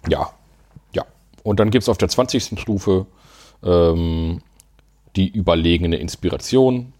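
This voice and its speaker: A man with a low voice talks casually on a podcast about tabletop role-playing rules. He chats loosely, laughs often and leans on fillers as he reads through the rules. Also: measured pace, darkish tone. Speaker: podcast co-host.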